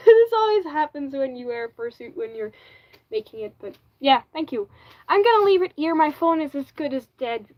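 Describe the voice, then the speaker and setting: A woman's voice: high voice, a little mellow. A woman with a Flemish accent, native Dutch speaker, chatting casually on a livestream and often correcting herself mid-sentence. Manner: chatty, restarts and self-corrects, frequent "like". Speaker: livestreamer making a fursuit.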